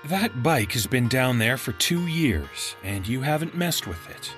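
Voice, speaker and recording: A man's voice: medium pitch, very animated, unhurried, a bit bright, faint music behind. A man playing a boy's dismissive, secretly sinister mother in a horror story, with a light, condescending manner. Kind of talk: horror story character dialogue.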